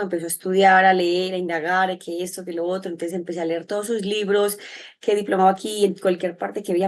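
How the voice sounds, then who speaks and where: A woman with a Medellín Colombian accent, answering in an interview in an expressive, reflective, rambling way. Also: on the low side. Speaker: interview guest, sexologist and psychologist.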